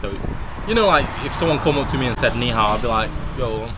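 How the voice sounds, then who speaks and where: A man's voice: medium pitch, lively intonation, fast talker. Thai guy ranting on his vlog outside, opinionated, says you know a lot, traffic going by. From Thailand. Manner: candid, opinionated, lots of filler "you know". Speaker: vlog host. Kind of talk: casual ranting monologue. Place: outdoors by a street restaurant. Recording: clear street traffic noise.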